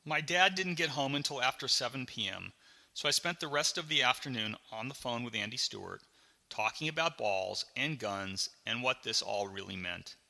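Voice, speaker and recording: Man, audiobook narrator, deliberate dramatic storytelling, fry in the voice, sharp tone, reverberant recording. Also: medium pitch, expressive. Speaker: author reading his memoir.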